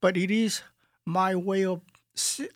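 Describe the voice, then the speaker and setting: A man from Korea with a Korean accent, a guest artist in a radio studio interview, speaking calmly and haltingly as he searches for words. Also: high voice, no echo. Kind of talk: calm interview talk.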